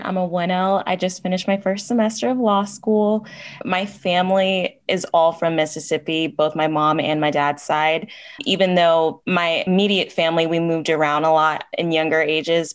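A woman in her twenties, a first-year law student who speaks in a friendly, matter-of-fact way. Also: fairly low pitch.

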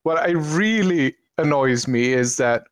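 Slow-talking Swedish man. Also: fairly high pitch, expressive, smooth, dry recording, clean studio sound. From Sweden. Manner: slow, hesitant, with many ums and restarts. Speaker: streamer and podcast guest. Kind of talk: casual chat.

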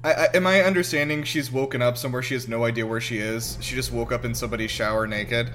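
A man giving casual, excitable, sweary commentary as host of a film reaction show. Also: medium pitch, fast. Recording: music playing behind. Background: film soundtrack music.

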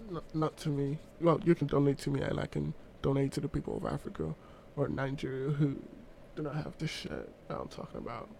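A man's voice: a little high, animated, brisk, creaky, hardly any pauses, a little mellow, slight background noise. A young man co-hosting a casual chat podcast, joking and teasing in banter. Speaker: podcast co-host.

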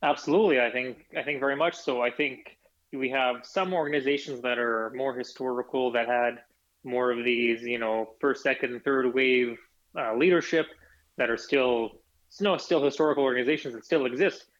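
A young Canadian man answering interview questions in a relaxed way, often repeating words as he thinks. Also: medium pitch, normal speed, dull audio. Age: young adult. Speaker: guest.

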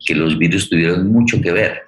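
A man with a Colombian accent speaking as a host in an unscripted, reflective introduction, halting and repeating words as he goes. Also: sits low. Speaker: host and theater director. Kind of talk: unscripted spoken introduction to a forum. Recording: roomy, echoey sound.

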